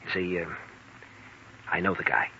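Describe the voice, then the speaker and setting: An actor playing a bitter, brooding young hospital intern in a 1940s radio drama, on a muffled, hissy old recording. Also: male voice, low voice, little pitch movement, moderate pace, gravelly, bright, forceful tone, heavily acted. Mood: brooding, guarded. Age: young adult.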